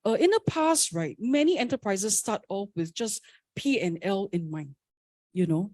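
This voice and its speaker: A woman's voice: medium pitch, lively intonation, normal speed, a little mellow, no echo. Woman executive, conversational and self-deprecating, dry close-miked recording. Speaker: startup CEO on panel. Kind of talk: conference panel talk.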